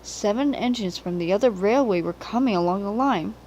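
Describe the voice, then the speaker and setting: A woman's voice: mid-range voice, a little fast, dark tone, slight background noise. A woman reading a children's story as a scripted narration, on an amateur home recording.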